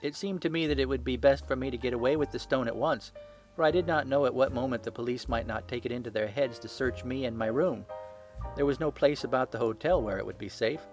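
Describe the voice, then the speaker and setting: A man's voice: fast, darkish tone, faint music behind, natural voice. A man voicing a small, frightened, cringing thief in an audiobook, with a strained, crackling, pleading delivery. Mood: nervous confession. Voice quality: crackling, strained, high-pitched. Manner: pleading, servile. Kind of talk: audiobook character acting.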